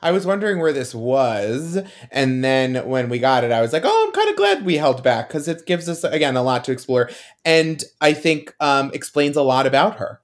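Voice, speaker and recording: A man's voice: medium pitch, big pitch swings, moderate pace. A man co-hosting a casual fan discussion podcast, talking in an opinionated, emphatic way. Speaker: podcast co-host.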